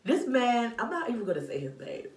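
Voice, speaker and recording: A young woman from Florida telling a storytime to the camera, animated and slangy, talking straight to her viewers. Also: on the high side, brisk, recorded from a distance. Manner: animated, gestures a lot, slangy asides to viewers. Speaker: vlogger. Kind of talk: casual storytime. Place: small bathroom indoors. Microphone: phone on a stand, mid-distance. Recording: echoey bathroom, off-mic, faint music.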